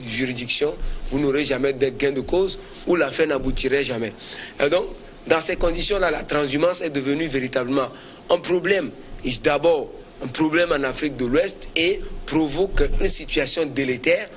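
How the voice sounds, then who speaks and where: A man's voice: fairly high pitch, fairly bright tone, a little hiss or hum. A man giving spontaneous commentary as a debate panelist, often hesitating and repeating words as he builds his argument. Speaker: panelist on a current affairs debate. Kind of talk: spontaneous political commentary in a discussion.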